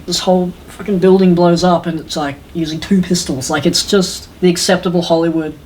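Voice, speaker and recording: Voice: mid-range voice, fairly even intonation, brisk, darkish tone, slight background noise, poor audio. A podcast host chatting casually and opinionatedly about films, rambling along and acting out imagined lines. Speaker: podcast host reviewing video game films. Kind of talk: casual opinionated panel chat.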